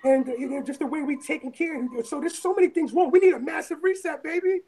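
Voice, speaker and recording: A woman from Brooklyn with a raspy voice, talking in a rambling, emphatic way in an interview, often repeating phrases. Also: high voice, fast, dark tone. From Brooklyn, New York. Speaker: interviewee, former firefighter and EMT. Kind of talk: casual interview talk.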